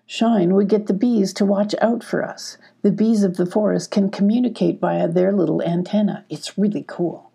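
A woman voicing an emotional young female fox in a storybook reading, high and pleading. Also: fairly even intonation, normal speed, vocal fry, few pauses, dark tone, slightly rough. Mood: hopeful.

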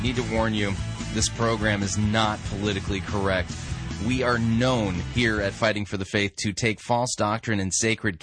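An American male radio host with a lowish voice talks casually and energetically. He rambles and interrupts himself, close and dry, over faint music. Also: a bit bright, no echo. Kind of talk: casual listener-supported radio talk.

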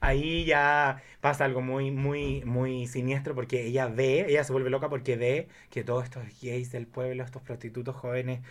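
A man with a mid-pitched voice talks casually about films. He tells things in an animated way and drops in emphatic asides. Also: normal speed, few pauses. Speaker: guest film enthusiast. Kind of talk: casual film chat.

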